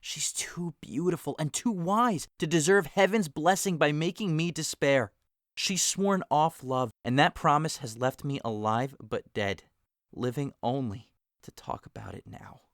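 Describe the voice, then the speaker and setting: A man's voice: on the high side, a little slow, dry recording, studio quality. An actor playing a lovesick young man in an audio drama, sighing and wistful, breaking into passionate outbursts.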